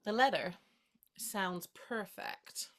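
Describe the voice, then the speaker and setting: An actress playing a playful, tender young woman in a play reading, gently teasing as she courts her friend. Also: medium pitch, takes it slowly, lightly acted. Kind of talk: two-hander stage play reading.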